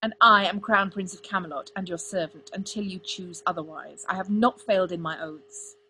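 A woman's voice: fairly low pitch, moderate pace, pushed and bright, a little hiss or hum, low-quality recording. Casting: female reader as a headstrong young prince, angry and commanding, snapping his lines in a fantasy audiobook. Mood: angry, wounded. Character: proud, bitter young crown prince, stubborn and angry.

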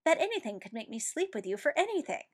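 A woman's voice: high-pitched, very animated, moderate pace, a bit bright, pitched above the performer's own voice, exaggerated voice. A woman voicing a brash, hot-tempered young man. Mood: furious. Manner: indignant, snappish outbursts.

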